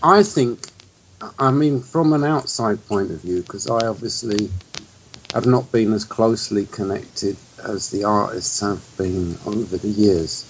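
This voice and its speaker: A middle-aged man from England giving his view in a talk-show discussion, in a measured, reflective way. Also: fairly low pitch, slight background noise, low-quality recording.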